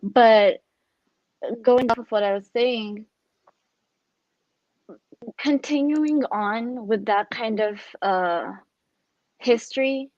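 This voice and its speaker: A woman's voice: a little high, takes it slowly, pauses often, a little mellow, no room sound. A young woman from Ethiopia speaking as a panelist in an online discussion, chatty and full of "like" and "you know". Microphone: video call. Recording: dull, dry video call audio.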